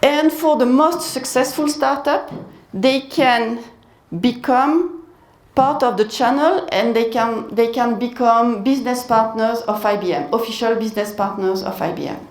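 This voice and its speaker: A woman giving a business presentation at a conference and taking questions, in a measured way that repeats key phrases. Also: on the high side. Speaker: conference speaker. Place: conference hall. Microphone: handheld mic. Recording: echoey conference hall.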